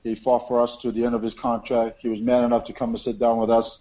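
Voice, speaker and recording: A man speaking as a promotion president, giving assured, measured answers to press questions on a conference call. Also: mid-range voice, flat delivery. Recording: dull lo-fi conference phone line.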